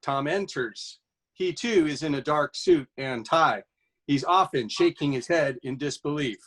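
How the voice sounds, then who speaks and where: A man's voice: a little high, unhurried, a bit bright. A man playing an obliging student who reads stage directions aloud plainly and a little tentatively in a web comedy.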